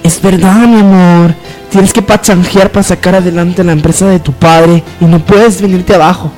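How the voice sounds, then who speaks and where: A woman's voice: lowish voice, steady tone, moderate pace, a little mellow, soft background music, close to an everyday voice. A woman playing a doting, bossy young girlfriend in an amateur radio soap opera.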